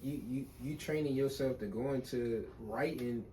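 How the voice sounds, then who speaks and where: A man's voice: mid-range voice, normal speed, mellow, slight background noise, low-quality recording. A young man hosting a casual podcast who affirms and encourages his guest, often repeating his words as he goes.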